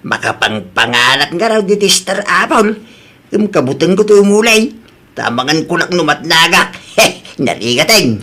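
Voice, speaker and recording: Old Filipino village grandfather played by a man, slightly high for a male, unhurried, Ilocano accent, once strict and now softened, radio drama. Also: big pitch swings, heavily acted. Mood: cheerful.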